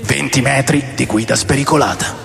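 Old rebel rocker telling his wild past, radio play style, gravelly voice, big reverb, slow dramatic pauses. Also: medium pitch, fairly even intonation. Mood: animated, indignant. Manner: deliberate, theatrical pauses. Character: aging rebellious rock musician recounting his wild past. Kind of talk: dramatic first-person memoir narration. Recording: long reverb over music. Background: music.

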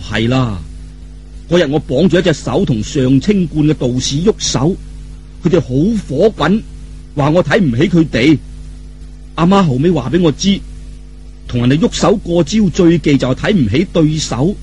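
A man's voice: on the high side, lively intonation, normal speed, darkish tone, faint music behind, natural voice. A man voicing a naive, good-hearted young martial hero in a Cantonese audiobook, musing aloud guilelessly.

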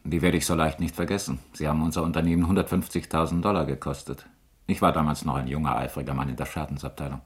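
An actor playing a shrewd, skeptical middle-aged insurance manager in a crime radio drama, calm and measured, with a husky voice. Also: male voice, extremely low pitch. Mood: cool, businesslike.

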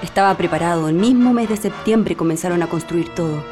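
A woman's voice: lowish voice, brisk, lightly acted. A woman playing a sorrowful, insistent witness recalling past atrocities in a radio drama. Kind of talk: radio drama acting, reminiscence. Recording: faint music under the voice.

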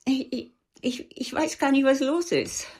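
An actress playing a distraught, confused wife in a radio drama, faltering and stammering. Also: female voice, fairly high pitch, lively intonation, measured pace, breathy edge, dry recording, studio quality. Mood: frightened, confused. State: shaken, confused.

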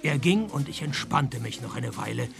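An actor playing a cynical, wisecracking private detective in a radio play, deadpan and sarcastic, with a Swiss Standard German accent. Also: male voice, mid-range voice, moderate pace, creaky, music in the background. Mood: dry narrating. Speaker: detective and first-person narrator. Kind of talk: radio play dialogue and scripted narration.

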